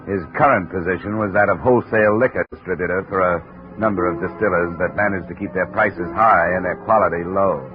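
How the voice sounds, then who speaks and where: An American actor playing a dry, world-weary insurance investigator in a 1950s radio detective drama. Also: male voice, sits low, a little fast, faint music behind, close to an everyday voice. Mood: wry narrating. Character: wry, hard-boiled male insurance investigator narrating his case. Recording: dull, hissy old radio recording.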